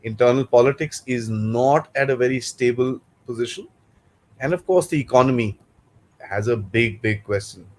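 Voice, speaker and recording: Indian male host, mid pitch, emphatic intro read. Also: moderate pace, darkish tone. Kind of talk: scripted show introduction.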